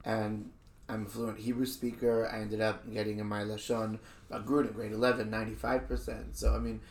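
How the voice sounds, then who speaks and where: A man's voice: fairly low pitch, level tone, a little fast. A man hosting a podcast in a casual, conversational way, explaining his points and repeating phrases for emphasis. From born in a country outside Israel. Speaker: podcast host.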